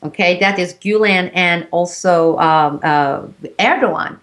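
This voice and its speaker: A woman's voice: on the low side, measured pace, a bit bright. Turkish-native woman explaining earnestly. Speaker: interview guest. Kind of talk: interview conversation.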